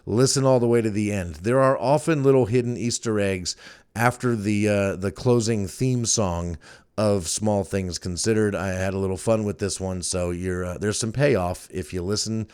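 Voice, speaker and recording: Male podcast host, casual solo talk, deeper register, clean resonant tone. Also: steady tone, normal speed, darkish tone, smooth.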